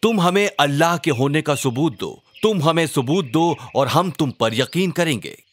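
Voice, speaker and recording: A man's voice: on the high side, expressive, unhurried. A man voicing a defiant, mocking tribesman in a children's storybook tale, challenging and scornful. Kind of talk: storybook character quotes.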